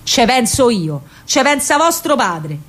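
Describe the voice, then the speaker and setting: A woman's voice: a little high, big pitch swings, measured pace, a bit bright, full character voice. A woman voicing a stern, hot-tempered working-class Roman father with a commanding manner in a radio play. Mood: stubborn, commanding. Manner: barking, commanding.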